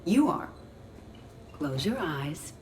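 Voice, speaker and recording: An actress playing a curious, literal ship's artificial intelligence in a video game, speaking precisely and formally with a deadpan delivery. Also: female voice, deep voice, drawn-out words, slight background noise, full character voice. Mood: formal, earnest. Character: female ship artificial intelligence in android body, curious, literal, earnest. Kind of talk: video game dialogue acting.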